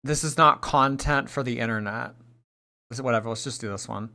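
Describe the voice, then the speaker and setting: A woman in her late twenties chatting on a livestream, reading out viewer tips and teasing, swinging between loud and mumbled. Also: very deep voice, fairly quick, creaky, a little hiss or hum. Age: late 20s. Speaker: streamer. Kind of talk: livestream reaction chat.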